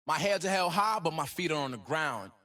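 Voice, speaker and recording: A man's voice: fairly high pitch, animated, a little fast, bright, forceful tone. An actor voicing a cocky, ambitious young male hip-hop star in a video game, with swaggering, slangy, rhythmic delivery. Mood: confident, driven. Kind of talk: game voice lines.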